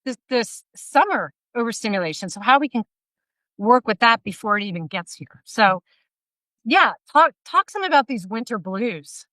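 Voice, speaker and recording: A woman in her fifties hosting a casual interview over a video call, energetic and laughing often. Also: on the high side, moderate pace, dry recording, rough recording. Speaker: podcast host. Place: bright room at home, indoors. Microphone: video call, laptop mic.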